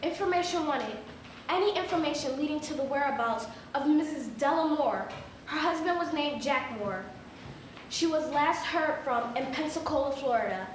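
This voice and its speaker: A woman's voice: high-pitched, expressive, normal speed. A woman giving a dramatic reading as an earnest seeker placing a missing-person newspaper notice. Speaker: stage reader. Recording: very echoey hall, distant, faint noise.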